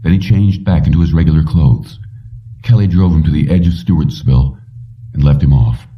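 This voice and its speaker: A man with a very low voice narrates a story from a script. The sound is dull and muffled, as if he sits well away from the microphone. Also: brisk, distant mic. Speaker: audiobook reader.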